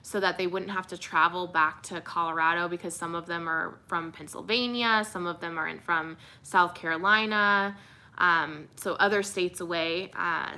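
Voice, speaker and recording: A young woman TV reporter, relaxed and soothing, answering viewer questions in a casual livestream with many fillers. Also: lowish voice. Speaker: TV news reporter.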